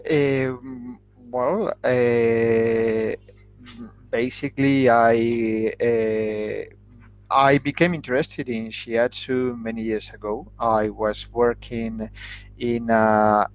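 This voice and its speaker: A man answering interview questions as a shiatsu therapist, speaking slowly and haltingly with frequent "uh" pauses. His voice sits fairly low. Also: rough recording. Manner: slow and hesitant, many "uh" fillers.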